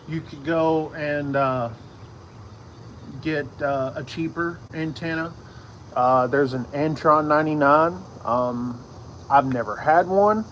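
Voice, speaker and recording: Middle-aged man, slightly high voice, casual vlog explainer, halting and repetitive, outdoors with light noise of insects and vehicles. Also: fairly bright tone, low-quality recording. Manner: halting, repeats himself and circles back to points. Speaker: radio hobbyist video host. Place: outdoors in a yard.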